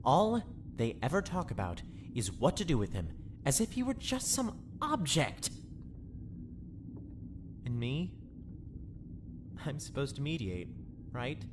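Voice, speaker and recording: A woman playing a weary, conflicted male ship administrator in a sci-fi drama, speaking earnestly and haltingly. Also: rumbling low, big pitch swings, background noise, heavily acted. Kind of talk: sci-fi audio drama acting.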